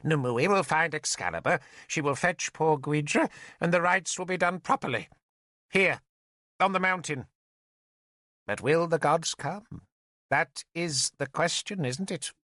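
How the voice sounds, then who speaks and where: A man playing a blind old druid, a theatrical, emphatic storybook character who rambles half-mad and is quick to take offence. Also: on the high side, pushed and bright, no echo, studio quality, pitched above the performer's own voice. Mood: indignant, musing. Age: older.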